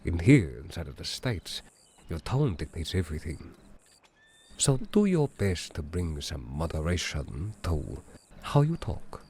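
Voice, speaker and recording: A man playing a courteous, slightly pompous immigrant businessman who speaks Mandarin natively, talking formally and deliberately. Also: deep voice, very animated, a little mellow, breathy edge, full character voice. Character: courteous Chinese immigrant businessman, mayoral hopeful. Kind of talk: roleplay dialogue.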